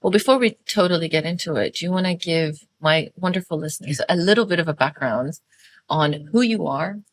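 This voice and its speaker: Host, woman in her thirties; friendly, slightly hesitant delivery, low voice. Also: fairly quick. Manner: friendly, hesitant, searching for words. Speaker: podcast host. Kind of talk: podcast interview.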